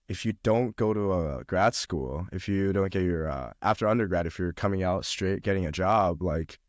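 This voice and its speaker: Chatty young man with a low voice. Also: no room sound, studio quality. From China. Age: young adult. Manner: chatty, full of fillers and restarts. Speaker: podcast guest. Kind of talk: casual conversation.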